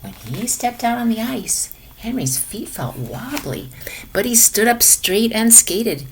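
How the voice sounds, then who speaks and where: A woman reading a children's picture book aloud in an expressive manner, sometimes dropping to hushed tones. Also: on the high side, unhurried, slight background noise. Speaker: storybook reader for a children's lesson.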